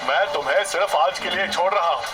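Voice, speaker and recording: A man playing a stern, commanding railway station master who scolds with authority in an animated moral story. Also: high voice, fairly quick, music in the background, natural voice, poor audio. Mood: stern warning.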